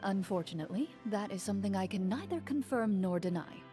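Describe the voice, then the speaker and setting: A woman's voice: medium pitch, animated, music in the background, close to an everyday voice. Actress as a composed, formal young woman. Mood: calm, poised. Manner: measured, polite, formal. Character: composed, formal young woman hosting a role-playing game. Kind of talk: anime video game voice acting.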